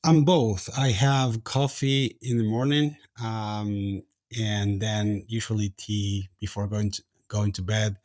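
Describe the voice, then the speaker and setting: A man's voice: lowish voice, level tone, takes it slowly, darkish tone, dry recording. A man in his forties from Argentina, answering interview questions in a hesitant way with many fillers and restarts. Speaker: podcast guest, company founder.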